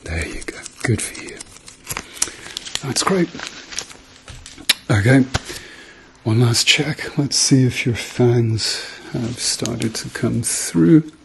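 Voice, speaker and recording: A middle-aged man doing a softly spoken ASMR role-play as a doctor, murmuring reassurance to a patient. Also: medium pitch, slow pace, creaky, long pauses, noisy background. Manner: reassuring, murmured repeated encouragement.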